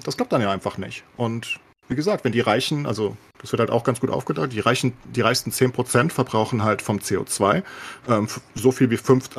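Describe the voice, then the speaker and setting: A man with a husky edge to his voice, speaking at a rapid clip. He argues like a podcast co-host among friends, colloquial and opinionated, dropping English swear words into his sentences. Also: mid-range voice.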